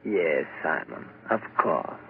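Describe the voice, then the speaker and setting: An actor playing a calm, probing doctor of mental patients in 1940s radio drama style, measured and questioning. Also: male voice, very deep voice, creaky, full character voice. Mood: grave, probing. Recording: muffled, noisy old radio broadcast.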